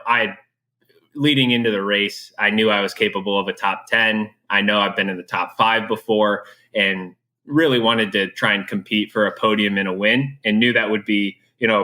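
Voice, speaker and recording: A young American man speaking as a guest in an interview, relaxed and candid, with many filler words. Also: lowish voice. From USA. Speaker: guest, professional triathlete. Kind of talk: casual interview chat.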